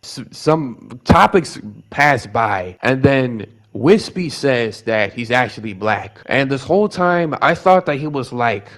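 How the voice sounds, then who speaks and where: A young man from the Bronx with a Bronx New York accent and Spanish as his first language, telling a rambling, animated story in a casual vlog, full of restarts and repeated words. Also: medium pitch, slow pace, hardly any pauses. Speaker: vlogger.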